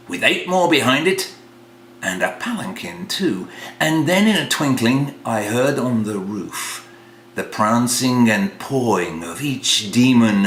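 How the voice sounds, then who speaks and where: A man reads narrative verse aloud in a theatrical storyteller's style. He takes his time, shaping each phrase for drama. The room echoes around him, with a faint hum underneath. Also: medium pitch. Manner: dramatic, hushed passages rising to exclamations. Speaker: reader of a comic poem. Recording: roomy echo, faint hum.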